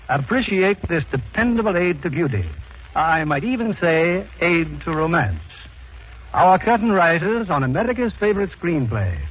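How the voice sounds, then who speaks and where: Casting: male vintage radio host, scripted pitch, lively intonation, dull band-limited sound. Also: breathy edge. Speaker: radio theater host and announcer. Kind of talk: 1940s radio sponsor announcement, scripted. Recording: muffled, indistinct 1940s radio broadcast.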